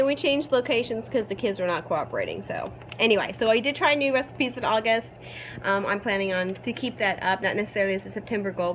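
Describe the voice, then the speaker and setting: A woman in her thirties, hoarse from a cold, chatting casually and upbeat with lots of ums as she vlogs from home. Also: mid-range voice, fairly bright tone, a little hiss or hum. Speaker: vlogger. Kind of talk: casual chat. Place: at home, changed rooms.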